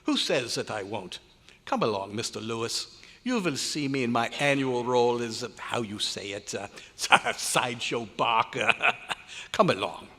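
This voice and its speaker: A man's voice: mid-range voice, expressive, measured pace, pushed and bright. A man playing an irritable, pompous German scientist in an old-time radio drama, declaiming with exasperation and a German accent. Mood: exasperated. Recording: echoey hotel hall live performance.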